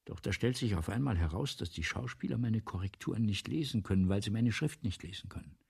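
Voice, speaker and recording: An imperious, failing man of the theatre in a radio drama. His voice is badly rasping and creaky, and it lurches from muttered asides to theatrical tirades. He is vain, agitated and rambling, with very lively pitch. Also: a little fast, heavily acted. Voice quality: husky, gravelly. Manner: theatrical, erratic, swinging from muttering to outbursts. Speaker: radio play actor. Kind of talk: 1970s German radio play acting.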